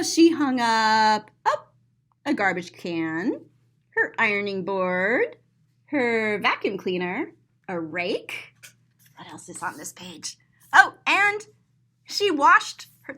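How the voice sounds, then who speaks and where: A woman reading a picture book aloud to young children in an expressive, playful way, pausing to explain things simply. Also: on the high side. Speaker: storyteller and teacher.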